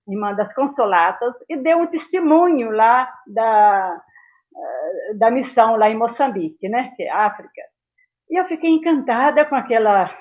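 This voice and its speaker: An elderly woman tells her memories as an interview guest. Her voice sits fairly high, and she rambles from detail to detail, often tagging phrases with a small question. The sound is somewhat dull and lo-fi. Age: 70s. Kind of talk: reminiscing in a casual interview.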